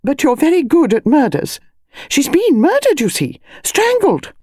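A woman voicing a breathless, gushing, excitable upper-class older lady in an audiobook. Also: high-pitched, a little slow, no room sound, clean studio sound, pitched above the performer's own voice, heavily acted. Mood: gleeful, thrilled. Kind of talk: audiobook character voice.

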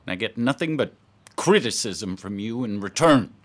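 A man's voice: lowish voice, expressive, moderate pace, dry recording. A man acting in a radio drama as an overworked, defensive, exasperated husband with a terse, irritated way of talking.

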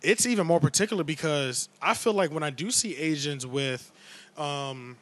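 A young man co-hosting a casual podcast chat, telling stories in a loose, profane, animated way. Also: on the high side, normal speed. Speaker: podcast co-host.

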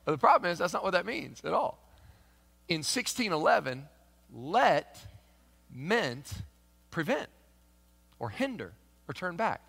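Kentucky pastor with a bright, emphatic voice. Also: male voice, a little high. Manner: swings from loud emphatic proclamation to soft conversational asides. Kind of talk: sermon. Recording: long hall reverb.

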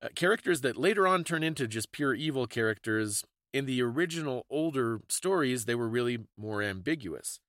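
A man's voice: mid-range voice, animated, a little fast, hardly any pauses, fairly bright tone, no echo, studio quality. A man co-hosting a podcast, casually discussing folklore and stories in a thoughtful, explanatory way. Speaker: podcast co-host.

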